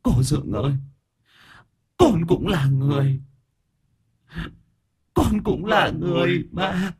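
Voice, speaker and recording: A man voicing the weeping ghost of a young man, pleading through tears in a raised, breathy voice. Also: a little high, animated, slow, voice pushed up, full character voice. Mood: sobbing plea. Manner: sobbing, pleading.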